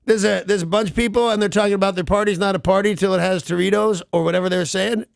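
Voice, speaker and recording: A man's voice: high voice, steady tone, fast, creaky, no room sound, clean studio sound. A man co-hosting a radio morning show, cracking jokes and sarcastic asides in casual banter. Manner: joking, wisecracking, sarcastic asides. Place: radio studio. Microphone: studio microphone.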